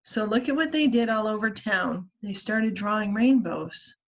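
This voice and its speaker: A woman reading a children's storybook aloud as a librarian at story time, with expressive, deliberate phrasing. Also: mid-range voice. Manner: expressive, deliberate phrasing with acted-out character lines.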